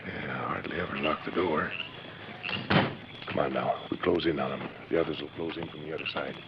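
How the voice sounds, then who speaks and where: An actor in a 1940s radio western playing a cautious, folksy express agent with plain rustic speech. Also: male voice, very deep voice, steady tone, brisk. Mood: hushed, tense. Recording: muffled, very noisy old radio recording.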